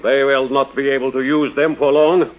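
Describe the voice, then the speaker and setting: An actor playing a cold, ruthless villain boss who gives curt, menacing commands in a 1940s radio adventure style. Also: male voice, medium pitch, fairly even intonation, moderate pace, natural voice. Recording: muffled old-time radio recording.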